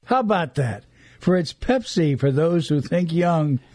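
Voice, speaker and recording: A man's voice: a little high, animated, unhurried, a little mellow, faint music behind. An older man hosting a radio show with casual, joking chat.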